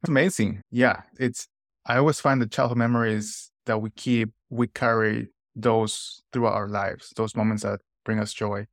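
A man's voice: on the low side, steady tone, normal speed, dry recording, studio quality. A man hosting a podcast, talking earnestly in short phrases with some restarts. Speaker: podcast host. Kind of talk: podcast intro and casual interview.

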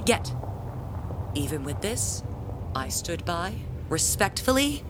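A woman playing a proud, jealous parishioner and bell ringer in an audio drama, speaking in a pompous, theatrical, halting way. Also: on the low side, big pitch swings, noisy background. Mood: indignant, wounded pride.

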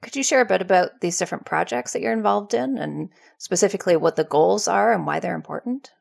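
A woman hosting a podcast, reading a scripted intro and asking measured interview questions. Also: fairly low pitch, vocal fry, darkish tone. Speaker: podcast host.

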